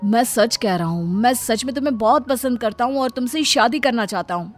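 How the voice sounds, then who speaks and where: A woman plays a smitten young man in a cartoon tale. He sounds earnest and insistent, and music plays softly underneath. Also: fairly high pitch, animated, fairly quick, lightly acted. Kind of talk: cartoon moral-story voice acting.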